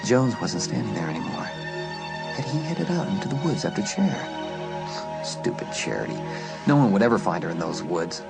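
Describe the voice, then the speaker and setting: A man with a lowish voice reads a horror story as a dramatic audiobook narrator. His delivery is suspenseful, and loud music plays behind him. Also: wildly swooping pitch, normal speed, gravelly.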